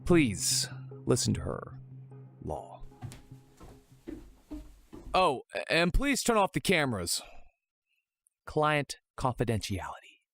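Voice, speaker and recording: A man's voice: medium pitch, wildly swooping pitch, takes it slowly, vocal fry, long pauses, a bit bright, faint music behind, full character voice. A man playing a polite but insistent defense lawyer in an audio crime drama. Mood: smooth, insistent.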